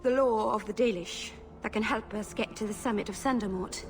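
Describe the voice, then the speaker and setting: A woman's voice: medium pitch, fairly quick, noisy background, lightly acted. A woman voicing an earnest young female elf mage in a video game, hesitant and apologetic, tending to babble and interrupt herself. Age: young adult.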